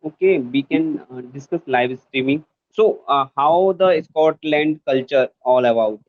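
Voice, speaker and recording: A man's voice: medium pitch, a little slow, dry recording, lo-fi sound. A young man from India with an Indian accent, learning English and talking hesitantly with many fillers. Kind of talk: casual conversation lesson.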